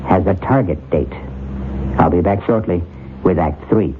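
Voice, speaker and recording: A man hosting a radio mystery drama, narrating in a measured, dramatic announcing style. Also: low voice, heavy background noise. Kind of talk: scripted narration. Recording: narrow old radio broadcast with music.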